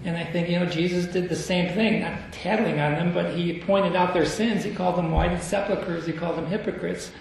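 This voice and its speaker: A man's voice: sits high, fairly even intonation, brisk, creaky, hardly any pauses, slight background noise. A man leading a group Bible study at a convention, asking the group questions and chuckling often, recorded far off in an echoey room.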